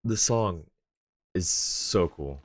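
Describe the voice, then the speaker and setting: A man's voice: sits low, animated, extremely slow, husky. A man chatting casually as a game streamer, thinking aloud in an informal way. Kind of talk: casual livestream chat and game commentary.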